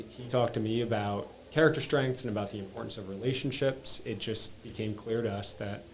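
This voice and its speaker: A man with a fairly low voice speaks as a guest on a podcast. He is earnest and reflective, and he talks in a steady storytelling way. His delivery is plain and sincere. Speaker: guest, organization co-founder. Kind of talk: podcast interview answers.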